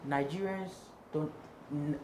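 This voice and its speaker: A man's voice: on the high side, takes it slowly. Young Ghanaian man in an echoey room. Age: young adult. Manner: animated, repetitive, emphatic. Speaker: singer and guest. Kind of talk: interview conversation. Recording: echoey room with noticeable background noise.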